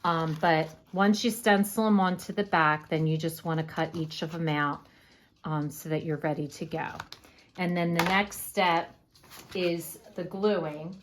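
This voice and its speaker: A woman explaining a paper craft step by step in a casual, unhurried way with frequent fillers, her voice going hoarse. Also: lowish voice, fairly even intonation, slight background noise. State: losing her voice, coughing. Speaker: craft tutorial host.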